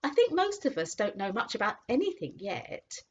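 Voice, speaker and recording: A woman voicing a slow, muddled old horse. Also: a little high, very animated, husky, voice pushed up. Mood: musing. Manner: slow and thoughtful. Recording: dull lo-fi audiobook recording.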